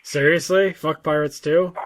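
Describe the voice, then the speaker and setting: Male podcast co-host, a little high in pitch, rambling, halting, sweary casual talker. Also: fairly even intonation. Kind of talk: casual chat.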